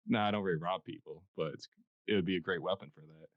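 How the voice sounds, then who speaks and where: A man with a deep voice hosting a podcast in a casual, joking way, talking close to a dynamic studio mic. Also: fairly even intonation, quick pace, dry recording. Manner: laid-back, joking, filler-heavy. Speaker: podcast host. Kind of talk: casual interview chat. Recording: dull, dry podcast recording.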